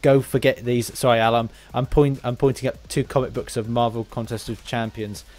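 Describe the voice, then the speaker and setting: A man's voice: medium pitch, steady tone, a little mellow. A man interviewing a guest in casual podcast chat, often stumbling and restarting his phrases. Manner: halting, stumbling over phrases.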